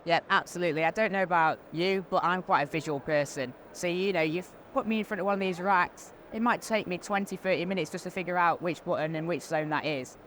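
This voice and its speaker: A woman's voice: on the low side, fairly quick, hardly any pauses, a bit bright. A woman giving a conversational product explanation as an interviewed specialist, informal and practical, on a trade show floor. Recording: faint background noise. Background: faint ambient noise.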